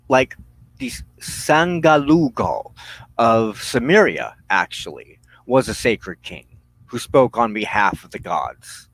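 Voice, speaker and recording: Guy doing a solemn spiritual talk, really slow w lots of pauses, close dry sound. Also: medium pitch, a bit bright, dry recording, low-quality recording. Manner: solemn, deliberate, halting phrasing with pauses. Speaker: religious teacher hosting a broadcast on witchcraft. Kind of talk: spiritual teaching monologue.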